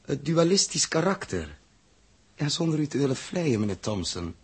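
An actor in a 1960s radio drama playing a calm, reasoning spaceship captain with a husky voice. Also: male voice, a little high, moderate pace, full character voice. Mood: thoughtful.

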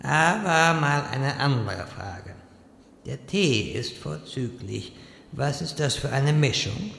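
A man playing a solemn but politely chatty captive wizard in a comic fantasy audio drama, with measured deadpan delivery. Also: medium pitch, gravelly, full character voice. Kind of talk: German audio drama parody. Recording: echoey hall reverb, faint noise.

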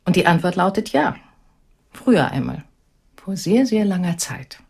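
A woman's voice: fairly low pitch, sing-song, moderate pace, creaky, darkish tone, breathy edge, full character voice. An actress playing a cool, composed middle-aged mother, speaking in a reserved, matter-of-fact way in understated radio drama dialogue. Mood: reserved, candid.

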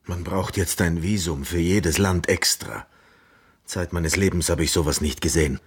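A plain-spoken chestnut seller in a radio drama. His voice is deep and rough with husky grain, and he speaks in a simple, deliberate manner. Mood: grumbling.

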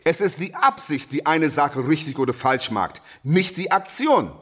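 A man's voice: fairly high pitch, sing-song, moderate pace, a bit bright, husky, full character voice. A man in a German radio play acting a proud, defiant boxing champion who declaims and argues back with rhetorical questions. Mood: insistent.

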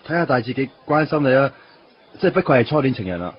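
A man's voice: mid-range voice, sing-song, quick pace, slightly rough. An actor playing a timid, earnest young man in a radio play, speaking Hong Kong Cantonese and stammering over his words. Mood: teasing. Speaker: supporting character in a radio play. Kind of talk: 1990s radio drama acting. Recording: dull lo-fi radio recording with music.

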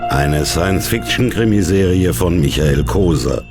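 A man's voice: sits low, lively intonation, a little slow, loud music behind the voice. A man announcing the title of a radio drama series in a dramatic, scripted style. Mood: solemn, dramatic.

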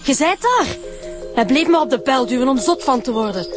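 A woman's voice: sits high, very animated, a little fast, over loud music. A brash, foul-mouthed Flemish woman. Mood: scornful. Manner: brash and scornful. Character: rude, foul-mouthed woman shouting at police. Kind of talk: radio drama acting.

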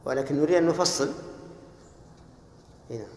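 A man's voice: fairly high pitch, a little mellow. Man quizzing students in an echoey hall. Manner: brisk, corrective, quizzing. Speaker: teacher. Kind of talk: grammar lesson with questions to students.

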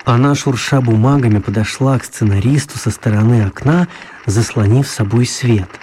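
A man's voice: medium pitch, normal speed, darkish tone, a little hiss or hum, lightly acted. A man narrating a children's radio play as a scripted storyteller.

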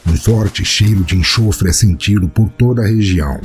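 A man's voice: lowish voice, moderate pace, darkish tone, slight background noise. A man with a Brazilian accent hosting and narrating a scripted storytelling podcast in a theatrical, florid manner. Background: music.

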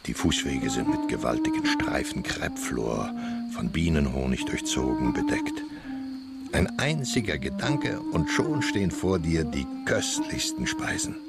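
Very deep gravelly village storyteller. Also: male voice, huge pitch swings, measured pace, loud music behind the voice, full character voice. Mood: playfully expansive. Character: earthy older village man, a playful mocking storyteller. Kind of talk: German radio play acting.

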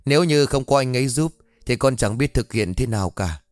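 A man voicing a spoiled, scheming young daughter who flatters and scolds. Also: brisk, no echo, lightly acted. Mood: sweet. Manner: sweet to her father, shrill when angry.

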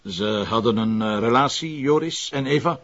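An actor playing a polite, patient Flemish police commissioner in an audio drama, measured and courteous as he probes. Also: medium pitch, a bit bright. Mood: probing. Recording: dull, muffled lo-fi recording.

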